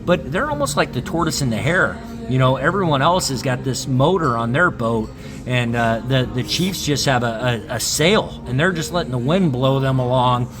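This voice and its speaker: A man's voice: medium pitch, expressive, moderate pace. Man giving casual sports analysis over music. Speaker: podcast guest, sports analyst.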